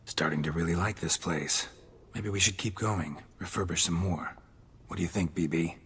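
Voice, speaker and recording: A man playing a gruff, world-weary monster hunter in a video game, husky and gravelly, terse and dryly understated. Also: low voice, moderate pace, a bit bright, long reverb tail, slight background noise. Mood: dry, content.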